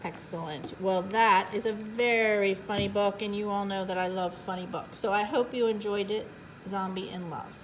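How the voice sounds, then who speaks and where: A woman's voice: medium pitch, fairly even intonation, moderate pace, slight background noise, poor audio. A woman reading a picture book aloud for young children as a storytime host, playfully adding little asides to her listeners.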